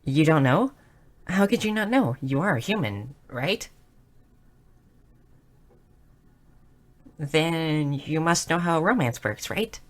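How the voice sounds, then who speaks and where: A woman voicing a bookish, excitable young goblin girl in an audio roleplay, curious, shy and slightly stammering. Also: low voice, a little slow. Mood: eagerly puzzled. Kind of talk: scripted audio roleplay addressed to the listener.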